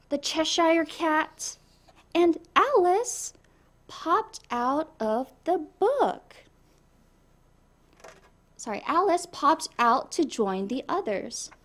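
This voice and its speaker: A woman reading a children's storybook aloud in an expressive way, addressing young listeners. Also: high voice, slow. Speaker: storybook reader. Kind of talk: animated children's read-aloud.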